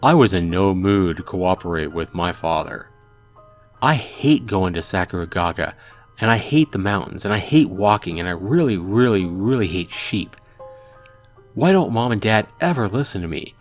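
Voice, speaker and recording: A man's voice: low voice, expressive, moderate pace, faint music behind. A man hosting a let's play, reading a visual novel aloud with wry asides.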